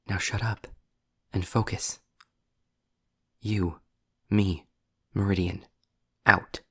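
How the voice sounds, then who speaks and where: A man playing a sarcastic, impatient, erudite demon in a scripted audio roleplay, speaking in a wry, wordy way with dramatic pauses. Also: low-pitched, gravelly, breathy edge, no room sound, studio quality, heavily acted. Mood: exasperated.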